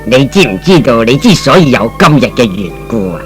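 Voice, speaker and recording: A man's voice: mid-range voice, sing-song, brisk, faint music behind, pitched below the performer's own voice, close to an everyday voice. A man playing a paternal older company chairman, coaxing yet authoritative, in old-fashioned radio drama style. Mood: probing, coaxing.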